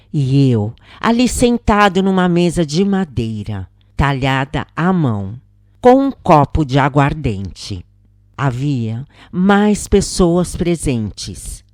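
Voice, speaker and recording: A Brazilian woman reading a scripted story as a narrator, in measured, deliberate phrases with pauses. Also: deep voice, a little mellow, no room sound, clean studio sound. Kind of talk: scripted audiobook-style narration of a spiritist account.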